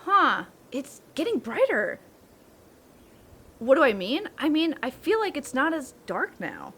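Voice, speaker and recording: A woman acts out a young wolf girl in a comic audio roleplay. Her voice sits high and leaps around in pitch. She is excitable, hungry and slangy, exclaiming as she goes. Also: a little slow, heavily acted. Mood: puzzled. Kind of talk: ASMR audio roleplay comedy acting.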